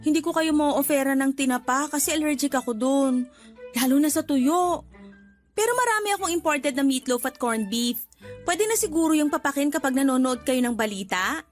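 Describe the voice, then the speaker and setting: A woman's voice: high-pitched, moderate pace, faint music behind, lightly acted. A woman acting a generous, unwittingly boastful neighbour in a Tagalog radio drama, chatty and accommodating, with a Filipino accent and English words mixed in. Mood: breezy, generous.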